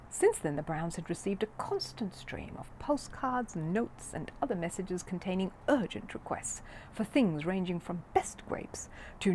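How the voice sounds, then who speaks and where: A woman reading a children's story aloud in an expressive narrating style. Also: lowish voice, slight background noise. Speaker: audiobook reader of a children's book.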